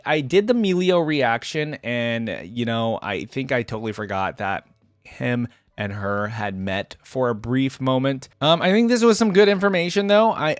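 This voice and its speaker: Male reaction host, casual delivery, mid pitch, very dry sound. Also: smooth, dry recording. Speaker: host of a game character reaction video. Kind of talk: casual reaction commentary with reading aloud.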